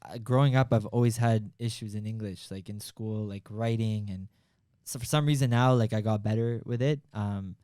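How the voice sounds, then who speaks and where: A man's voice: lowish voice, moderate pace, warm tone, smooth, no room sound, studio quality. A young man whose first language is Hebrew, co-hosting a podcast in easygoing casual chat and laughing often. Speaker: podcast co-host.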